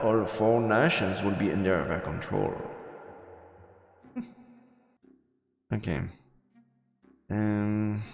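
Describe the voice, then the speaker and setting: A man doing live let's play commentary and reading game text aloud, halting and repeating words as he goes. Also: on the low side, gravelly. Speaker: game streamer. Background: faint game music.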